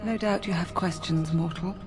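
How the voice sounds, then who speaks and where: A woman plays a regal lady spirit of the forest in a fantasy game. Her voice is breathy and ethereal, with measured, solemn phrasing. Music plays clearly beneath it. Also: lowish voice, fairly even intonation, close to an everyday voice. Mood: conciliatory. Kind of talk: fantasy video game acting.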